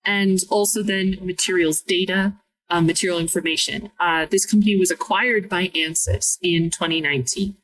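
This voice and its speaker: Measured woman, a bit off-mic. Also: lowish voice, steady tone, a bit bright, far from the mic, rough recording. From Minnesota, United States. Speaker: guest, materials scientist. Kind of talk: interview answers.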